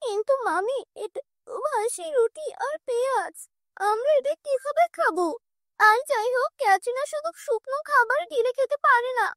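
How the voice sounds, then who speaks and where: A woman's voice: very high voice, normal speed, a bit bright, no echo, studio quality, voice pushed up. A woman voicing a dutiful, protective orphan girl who speaks earnestly and politely in a cartoon story. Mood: pleading.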